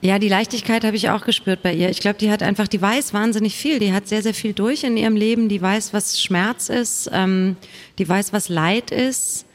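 A woman author speaking conversationally as a panel guest on stage, reflective and halting, often repeating words as she thinks. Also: medium pitch. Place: live stage at a book fair.

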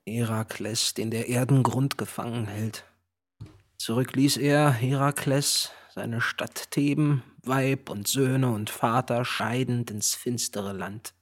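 An actor playing a grave narrator of Greek tragedy, recounting solemnly in formal verse for a radio drama. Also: male voice, mid-range voice, fairly even intonation, slow pace. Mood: grave, ominous.

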